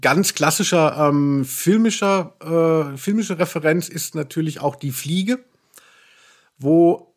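A man's voice: a little high, slow. A man co-hosting a podcast in a casual, ironic discussion, explaining things in a mock-erudite way. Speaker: podcast co-host, pop journalist.

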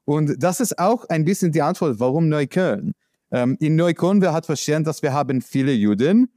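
A man from the United States with an American accent, speaking in a halting, searching way with many fillers, answering as an interviewee. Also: fairly high pitch, lively intonation, dark tone, no room sound, studio quality. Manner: halting non-native speech with many fillers. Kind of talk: conversational interview.

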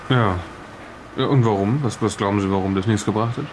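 A man's voice: on the low side, fairly quick, background noise, lightly acted. A man playing an inquisitive questioner, probing in a colloquial way in an improvised audio drama. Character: inquisitive male questioner probing a strange event.

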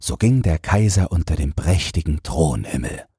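A man's voice: very deep voice, lively intonation, moderate pace, warm tone, breathy edge, no echo, studio quality. A man narrating a fairy tale as a bedtime audiobook, reading from a script.

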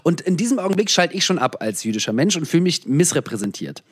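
A man from the former Soviet Union talks as an interview guest, searching for his words with pauses and restarts. His voice sits a little higher than usual for a man. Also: very animated, breathy edge. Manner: thoughtful, searching, frequent fillers and restarts. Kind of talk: reflective interview answers.

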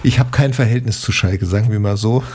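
A man's voice: fairly low pitch, a little fast, darkish tone, slight background noise. A man co-hosting a podcast, narrating conversationally and hesitating with fillers. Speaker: podcast co-host.

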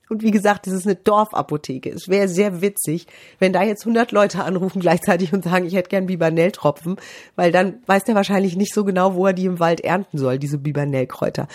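Woman vocal coach chatting on a podcast, middle-aged, voice jumps high then low to show examples. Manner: lively, swoops high and low to illustrate. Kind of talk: podcast advice talk.

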